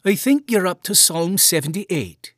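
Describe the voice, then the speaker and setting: A man voicing a caring farm mother in a storybook reading, his voice lifted high and a little louder. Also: animated, measured pace, no echo, studio quality, heavily acted. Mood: gentle. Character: anxious, caring farm mother, Irish-born.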